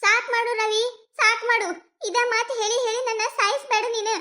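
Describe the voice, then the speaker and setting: A woman's voice: very high-pitched, level tone, brisk, bright, forceful tone. A woman with a North Karnataka Kannada accent acting as a distressed young college girl pleading with her boyfriend. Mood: exasperated. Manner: pleading, breaks into teary laughter. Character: young woman college student, anguished, torn over marriage. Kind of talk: amateur skit acting.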